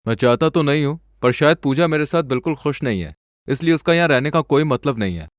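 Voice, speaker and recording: Man acting an earnest, expressive young husband. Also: on the high side, quick pace, no echo, studio quality, natural voice. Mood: regretful. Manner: firm, sincere. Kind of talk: animated moral story dialogue.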